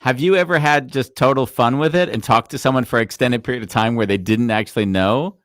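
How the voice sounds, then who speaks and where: A man's voice: medium pitch, quick pace, no room sound, studio quality. A man hosting a live interview, asking questions and affirming the guest often. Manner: supportive, many short agreements. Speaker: host. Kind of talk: casual interview chat.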